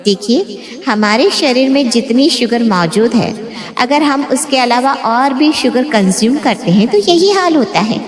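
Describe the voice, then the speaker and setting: A woman's voice: sits high, lively intonation, unhurried. A woman playing a stern, authoritative doctor giving advice in a family story drama. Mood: stern, advising. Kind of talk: scripted story drama dialogue. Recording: echoey room with long reverb.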